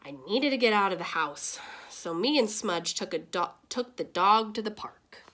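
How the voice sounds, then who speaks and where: A woman's voice: medium pitch, lively intonation, moderate pace, fairly bright tone, lightly acted. A woman narrates as a downhearted father from a picture book. He is plain-spoken and kindly, with a resigned, colloquial way of talking. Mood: weary, resigned.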